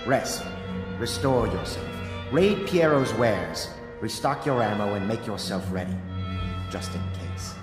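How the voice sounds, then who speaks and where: Stuck-up scheming noble from a game, very up n down voice, roomy echo, music behind. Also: male voice, lowish voice, expressive, a little slow. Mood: haughty. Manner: pompous, snobbish. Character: haughty, petulant male aristocrat, self-important schemer. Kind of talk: video game character acting. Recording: echoey hall, music underneath.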